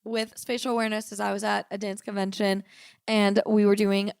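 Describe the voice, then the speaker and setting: A young woman co-hosting a podcast, raspy today, talking in a casual, animated way as she tells stories. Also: medium pitch, no room sound, studio quality. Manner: animated storytelling, quick and emphatic. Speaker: podcast co-host. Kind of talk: casual chat.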